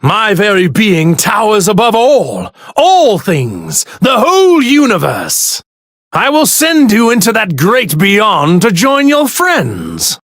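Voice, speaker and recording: An actor playing an arrogant god in a stolen body, pompous and sneering in formal, grandiloquent speech for an anime fighting game. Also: male voice, high voice, wildly swooping pitch, slow pace, a bit bright, dry recording, studio quality, heavily acted. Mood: haughty, menacing. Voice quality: theatrical, sneering delivery.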